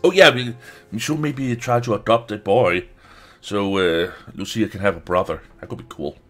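A man's voice: lowish voice, normal speed, soft background music. A man doing casual gameplay commentary, muttering to himself in short bursts. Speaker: let's play streamer.

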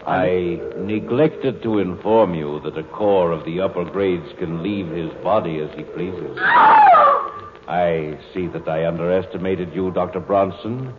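An actor playing a cold, superior alien being in a human body, speaking precisely and with detachment, in an old 1950s radio drama. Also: male voice, low-pitched, measured pace. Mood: detached. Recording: dull old radio broadcast with faint hiss.

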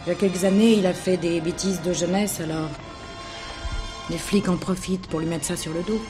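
An actress playing a wily, coaxing Parisian prostitute in a French radio play, speaking colloquially. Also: female voice, on the low side, fairly even intonation, brisk, over music, lightly acted. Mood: pleading, coaxing.

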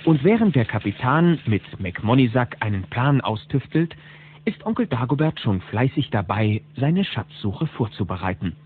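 A man narrating a children's cartoon audio drama as a lively storyteller. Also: mid-range voice, moderate pace, slight background noise, natural voice. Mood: storytelling. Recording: dull cassette tape sound.

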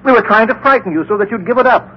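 A man's voice: high voice, racing. An actor playing an anxious, pleading, well-meaning man who stammers and gets flustered, in 1950s radio drama style. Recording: dull vintage 1950s radio recording.

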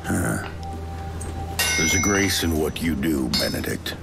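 A man playing a huge, grave but gentle scarred minotaur with a gravelly voice in a fantasy audio drama. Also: rumbling low, animated, slow, over loud music, heavily acted. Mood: grave, grateful. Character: seven-foot scarred male minotaur, grave, gentle giant.

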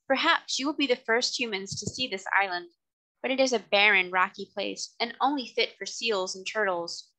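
A woman voicing a gentle, knowledgeable mermaid queen who explains things calmly in a storybook. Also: mid-range voice, normal speed, close to an everyday voice, rough recording. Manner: calm, explanatory.

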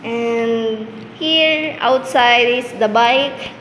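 A woman's voice: on the high side, extremely slow. A woman with a Filipino accent giving a casual walk-through tour, somewhat hesitant as she searches for words. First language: Tagalog. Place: tiled rooms and corridors of a small gym, indoors. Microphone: handheld phone behind the camera. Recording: echoey indoor rooms, clear background noise.

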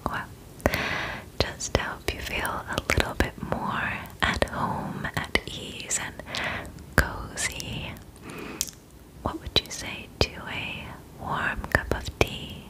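A young woman in her twenties playing a friendly forest witch in an ASMR roleplay, whispering breathily and gently, close to the microphone. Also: close to an everyday voice, poor audio. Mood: soothing, reassuring. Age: 20s. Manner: slow, gentle, reassuring. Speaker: ASMR performer.

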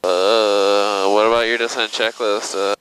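A man's voice: on the low side, a little slow, low-quality recording. A male flight instructor casually coaching and teasing with mock groans over a headset intercom in a small plane. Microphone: aviation headset. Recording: headset intercom with steady engine noise.

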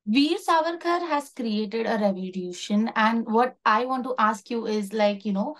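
A young Indian-accented woman hosts an entertainment interview over a video call. Her voice sits a little high, and she talks in a casual, chatty way with slangy asides. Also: a little slow.

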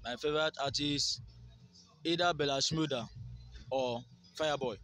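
Casting: young Nigerian male, fairly high voice, rambling and boastful street interviewee. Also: moderate pace, fairly bright tone. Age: young adult. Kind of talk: casual street interview in Pidgin. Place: outdoors by a garden wall. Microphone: phone held near the mouth by interviewer.

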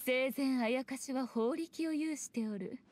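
Haughty, elegant high-voiced fox shrine maiden. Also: female voice, measured pace, darkish tone. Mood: composed, explaining. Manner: haughty, composed, archaic noble diction. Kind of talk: Japanese video game acting.

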